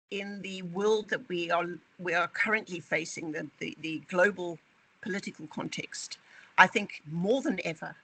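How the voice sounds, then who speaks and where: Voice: mid-range voice, gravelly, pushed and bright, slightly rough, low-quality recording. An interviewee from a language training company talking in a corporate video, emphatic and often repeating words while finding the phrasing.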